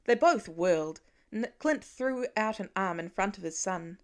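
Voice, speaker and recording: Expressive woman narrating fiction. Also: medium pitch, measured pace. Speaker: audiobook narrator. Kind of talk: scripted dramatic fiction narration.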